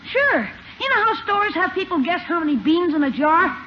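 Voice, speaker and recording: A woman's voice: very high voice, sing-song, moderate pace. A woman playing an eager, slangy, scheming young boy in a 1950s radio sitcom. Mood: eager, boastful. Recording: muffled, noisy old radio broadcast recording.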